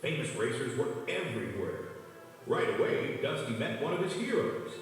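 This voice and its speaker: Lively man narrating a children's storybook. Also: medium pitch, normal speed. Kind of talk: scripted children's read-along narration. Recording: echoey, distant, long reverb, music behind.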